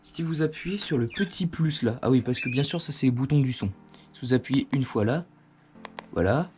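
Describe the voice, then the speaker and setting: A man with a mid-pitched voice walks through a product in a casual, hands-on way. He sounds informal and conversational, explaining step by step as a video host would, recorded indoors with music in the background. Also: animated, moderate pace. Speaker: host of a motorcycle gear review channel. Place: indoors at home.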